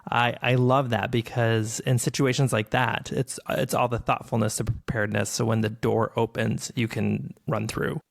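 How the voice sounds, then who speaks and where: A male podcast host with a noticeably gravelly voice. He asks his questions and muses in an unhurried, measured way, at a middling pitch. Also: little pitch movement. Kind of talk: casual interview chat.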